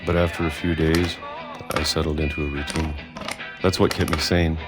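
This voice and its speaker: A middle-aged man playing a weary, resigned recluse in a game, speaking a measured, reflective monologue. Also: extremely low pitch, level tone. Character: weary reclusive man resigned after decades hiding underground. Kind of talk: scripted dramatic monologue in a game. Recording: loud music under the voice.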